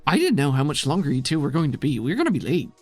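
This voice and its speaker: A man voicing a bossy, exasperated handywoman in a tabletop game, brisk and dry as they scold a roommate. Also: fairly high pitch, huge pitch swings, a little mellow, breathy edge, no echo, faint music behind, pitched above the performer's own voice, heavily acted. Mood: impatient.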